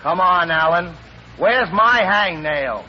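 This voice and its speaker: A man's voice: high voice, animated, slow. A man playing a cocky, needling wisecracker with slangy, smart-alecky banter in 1930s radio comedy style. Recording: muffled 1930s radio broadcast, faint noise.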